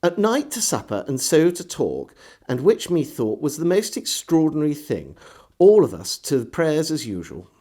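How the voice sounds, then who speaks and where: A man reading a historical diary aloud as a storyteller, phrasing it expressively and measuredly, with deliberate pauses. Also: fairly high pitch, big pitch swings, dark tone. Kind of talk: dramatised audiobook narration of a 17th century diary.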